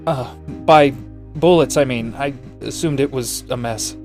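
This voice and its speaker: An actor playing a troubled private detective with memory gaps in a noir audio drama, brooding and confessional. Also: male voice, mid-range voice, measured pace, echoey room, faint music behind, close to an everyday voice. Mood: defensive. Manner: brooding, confessional inner monologue.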